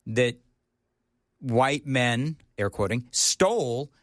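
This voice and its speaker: A man hosts talk radio in a loose, bantering way. His voice sits at an ordinary middle pitch and comes through very dry and close, with no room around it. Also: expressive, extremely slow, a bit bright, no echo. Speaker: radio talk show host.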